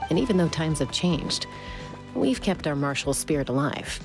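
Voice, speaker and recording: A woman's voice: sits low, big pitch swings, normal speed. A woman playing a cool, composed young warrior in a video game, speaking in a laid-back, assured way. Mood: calm pride. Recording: music behind the voice.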